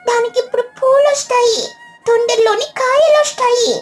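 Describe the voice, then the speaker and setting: A woman's voice: extremely high pitch, steady tone, normal speed, over loud music. A woman voicing a tiny, caring child bird in a children's cartoon, earnest and determined. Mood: worried, determined.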